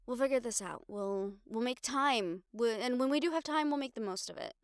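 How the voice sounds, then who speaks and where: A woman acting a young reporter girlfriend in an intimate audio roleplay, wistful but bravely upbeat, musing in halting, expressive phrases. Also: on the high side, clear, resonant tone, no echo, clean studio sound. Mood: hopeful, reassuring.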